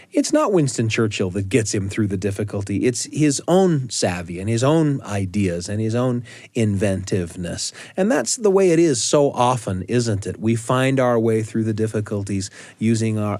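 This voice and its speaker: A man's voice: on the low side, a little mellow, no echo, clean studio sound. A man hosting a family storytelling podcast in a conversational style. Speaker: podcast host.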